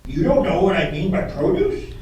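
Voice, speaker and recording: A man's voice: sits high, huge pitch swings, moderate pace, darkish tone. A man playing an insistent, pedantic attorney who repeats questions in a puppet courtroom cross-examination. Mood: pressing, insistent. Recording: echoey room, distant mic, faint noise.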